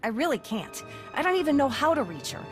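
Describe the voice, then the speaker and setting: A wry, evasive underworld informant in a video game. The voice is fairly high and very animated, full of sarcastic hedging, over background music. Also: female voice, brisk. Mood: nervous evasion.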